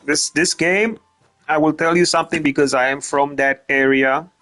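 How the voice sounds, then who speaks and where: A man's voice: a little high, steady tone, normal speed, pushed and bright, faint music behind. A man from Romania, giving casual gameplay commentary as a streamer, rambling, stumbling over repeated words and swearing now and then. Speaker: gaming streamer.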